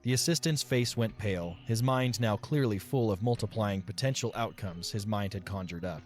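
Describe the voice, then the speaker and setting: A man's voice: fairly low pitch, normal speed, faint music behind. A man reading scripted story narration as the narrator of an audio drama.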